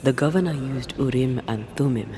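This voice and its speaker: Man acting a perceptive ghost hunter, video game, gravelly, measured, noisy background. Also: mid-range voice, close to an everyday voice. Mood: grave, observant.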